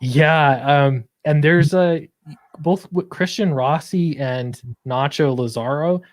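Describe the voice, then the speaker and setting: A man's voice: on the high side, slow pace, dry recording, studio quality. A man chatting casually as an interview guest, explaining his creative work in an earnest way. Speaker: guest comic book creator. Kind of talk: casual interview chat about own project.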